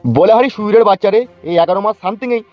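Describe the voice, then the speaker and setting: Bullying cartoon big boss, voiced by a man, rapid swaggering insults, dull lo-fi sound, music underneath. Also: high voice, animated, dry recording. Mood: abusive, menacing. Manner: mocking, threatening, swaggering. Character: bullying, foul-mouthed gang boss, swaggering big brother. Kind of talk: animated comedy dialogue. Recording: dull lo-fi track, faint music.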